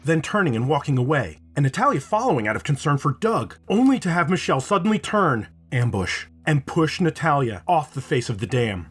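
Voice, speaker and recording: A man narrating a scripted video essay in a dramatic, expressive storytelling manner, with casual swearing. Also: on the high side, brisk, few pauses, slightly rough. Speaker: video host, reviewer.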